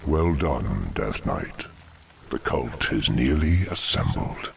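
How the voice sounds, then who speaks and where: An actor playing a sinister, commanding demon dreadlord in a fantasy game, slow and formal in his menace. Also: sing-song, a little hiss or hum, heavily acted. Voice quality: very deep, gravelly. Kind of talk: fantasy video game acting. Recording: music under the voice.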